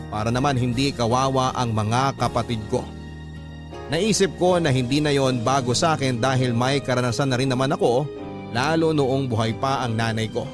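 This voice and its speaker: Guy radio host reading a dramatic life story, music playing behind him. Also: medium pitch. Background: music under the voice.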